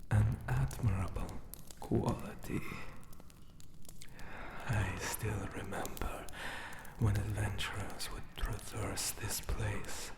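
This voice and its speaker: A man voicing a lonely, ancient male jackal-god monster guarding a tomb, whispering breathily in a solemn, formal ASMR roleplay with deliberate pauses. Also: fairly low pitch, steady tone. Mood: musing, wistful.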